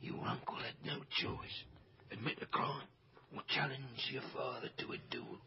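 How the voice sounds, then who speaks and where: An actor playing a deferential servant in a radio drama, a theatrical and confiding teller of dark family secrets. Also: male voice, steady tone, moderate pace, close to an everyday voice. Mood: grave, dramatic. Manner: theatrical, confiding, hushed to booming. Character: deferential, gossiping male household servant, darkly dramatic storyteller. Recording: dull, noisy radio drama recording.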